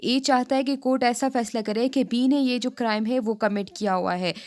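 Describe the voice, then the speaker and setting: A woman with a Pakistani accent giving a law lecture, reading statutes aloud and explaining them in a halting, repetitive way. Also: a little high, darkish tone.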